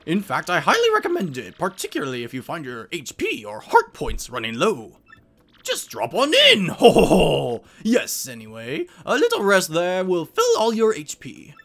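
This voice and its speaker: A man voicing a fretful, genteel elderly male Toad steward in a video game, with pompous, huffing gentlemanly phrasing. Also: sits high, wildly swooping pitch, moderate pace, creaky, exaggerated voice. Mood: relieved, genteel. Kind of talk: video game dialogue voice acting. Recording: game music behind the voice.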